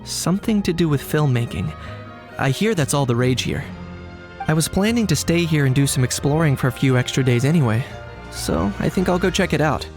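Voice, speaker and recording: An actor playing a pragmatic, unbothered young man in a video game, speaking in a measured, matter-of-fact deadpan. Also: a little high, over music, close to an everyday voice. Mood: dry, casual. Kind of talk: English video game dub acting.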